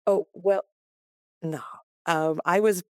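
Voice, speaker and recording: A woman's voice: lowish voice, fairly even intonation, slow pace, smooth, no room sound, studio quality. An American woman in her sixties from Los Angeles, a chatty guest who laughs often, talking over a remote call from a farmhouse attic. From West Los Angeles, USA. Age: 60s. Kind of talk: conversational interview.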